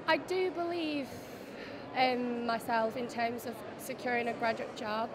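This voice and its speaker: A young woman answers an interview as a job seeker, speaking off the cuff. Her voice is fairly high, against clear crowd noise. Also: steady tone, unhurried. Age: young adult. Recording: loud crowd behind.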